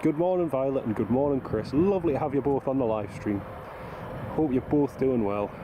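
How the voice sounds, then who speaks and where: A man's voice: medium pitch, fairly quick. A man with a stuffy cold, chatting casually to livestream viewers and greeting them by name. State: bunged up from a cold. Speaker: livestream host. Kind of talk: casual live commentary to viewers. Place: outdoors at an airport. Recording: loud outdoor aircraft and traffic noise. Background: aircraft and vehicle noise.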